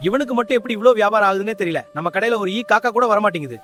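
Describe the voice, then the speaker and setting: A man playing earnest, plain-spoken village men in animated story dialogue. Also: high voice, racing. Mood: glum.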